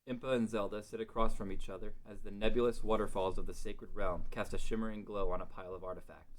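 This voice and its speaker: Roomy, distant man narrating, lowish voice. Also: fairly even intonation, fast. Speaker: author and narrator. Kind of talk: scripted narration of a fantasy story. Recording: roomy, distant mic with clear background noise.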